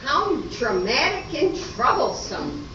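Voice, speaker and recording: A woman playing a fussy, chatty, dramatic lady in an amateur radio comedy, theatrical and deliberate. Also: sits high, big pitch swings, bright, forceful tone, heavily acted. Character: dramatic, chatty women: fussy diner, gossipy witness. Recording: noisy echoey room, distant muffled mic.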